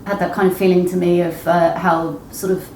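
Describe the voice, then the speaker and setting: A woman's voice: fairly low pitch, flat delivery, moderate pace, a little mellow. A woman interviewer talking casually and reflectively in a book discussion. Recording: echoey room, slightly distant, faint noise.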